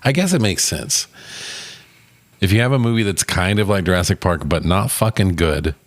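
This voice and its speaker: A man's voice: sits low, lively intonation, normal speed, no echo. A man co-hosting a casual movie review chat, laid-back and agreeable, with some swearing. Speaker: podcast co-host reviewing movies.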